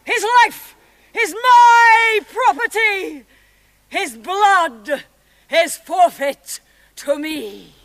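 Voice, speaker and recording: A woman's voice: fairly bright tone, full character voice. A woman playing an imperious, menacing witch queen in a fantasy drama, with theatrical, declamatory delivery. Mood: haughty, menacing. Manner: theatrical, declamatory, slow, swelling to shrill outbursts.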